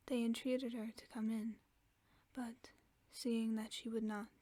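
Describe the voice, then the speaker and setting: A woman reading a fairy tale aloud for sleep in a soft, steady whisper. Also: on the high side, unhurried, no echo. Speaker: ASMR storyteller.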